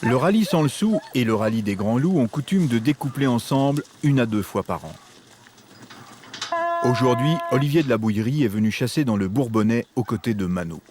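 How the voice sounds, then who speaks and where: A man giving scripted documentary narration in an even, informative manner. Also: mid-range voice, a little fast, background noise.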